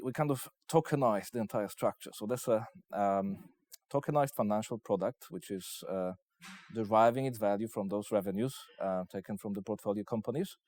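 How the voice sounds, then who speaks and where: Low-voiced Polish man being interviewed. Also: darkish tone, no echo. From Poland. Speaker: investment company representative, interviewee. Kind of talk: interview answers, business pitch.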